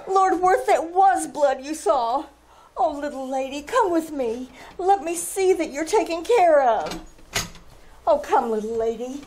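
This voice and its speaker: Lady playing a kindly princess, old radio drama, high voice, slow and refined, very theatrical. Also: lively intonation, dark tone, slight background noise. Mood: alarmed, caring. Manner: refined, theatrical, with drawn-out exclamations. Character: gracious, kindly young princess, gushing and compassionate. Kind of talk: old-time radio drama acting, live stage performance.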